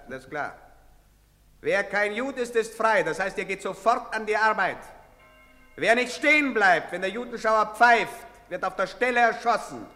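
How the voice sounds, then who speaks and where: An actor playing a brutal, coarse soldier who barks impatient commands in a stage drama. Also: male voice, high-pitched, bright, forceful tone. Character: brutal barking soldier marshalling frightened townspeople. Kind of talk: theatrical stage drama acting. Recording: reverberant theatre hall recording.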